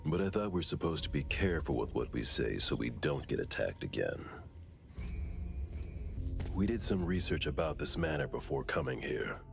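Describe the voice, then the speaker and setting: A man playing a stern, cautious investigator in a game cutscene, speaking in a measured, matter-of-fact way. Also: rumbling low, gravelly, exaggerated voice. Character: stern, cautious, deep-voiced male investigator in a fursuit. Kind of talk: game cutscene dialogue acting.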